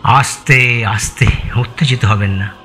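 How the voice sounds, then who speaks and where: Calm, mid-pitched police sergeant. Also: male voice, soft background music. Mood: placating. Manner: measured, placating, matter-of-fact. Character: calm, practical male police sergeant, soothing and procedural. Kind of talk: Bengali audio thriller acting.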